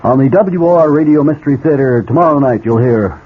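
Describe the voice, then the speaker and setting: A man's voice: medium pitch, fairly quick, slightly rough. A man reading scripted station announcements as a radio announcer, polished and formal. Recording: tinny old radio, faint noise.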